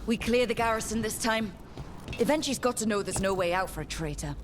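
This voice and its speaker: An actress playing a resolute heroic squad leader in a video game, speaking firmly and directly. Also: female voice, mid-range voice, fairly quick, noisy background, close to an everyday voice. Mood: determined. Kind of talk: video game dialogue acting. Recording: music clearly under the voice.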